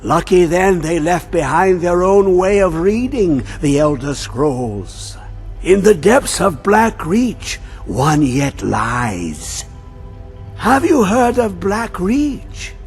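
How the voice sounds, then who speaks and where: A man voicing a mad, obsessed old male scholar who talks in riddles and swings between high and low. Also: very animated, slow, a little mellow, full character voice. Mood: cryptic. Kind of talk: video game voice acting. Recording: game audio with faint music.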